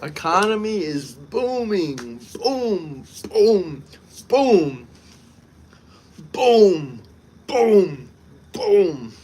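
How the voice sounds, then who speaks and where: A brash man from the United States chats on a live stream with a fairly high voice. He talks emphatically and repeats his points for effect. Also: very animated, drawn-out words, long pauses, a little mellow, slight background noise. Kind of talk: casual live-stream chat.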